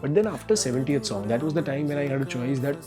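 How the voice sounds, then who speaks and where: Fast-talking Indian man over music. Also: medium pitch, expressive. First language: Gujarati. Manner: mixes Hindi and English, restarts sentences often. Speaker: composer and documentary subject. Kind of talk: reflective interview talk. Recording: music bed under the voice.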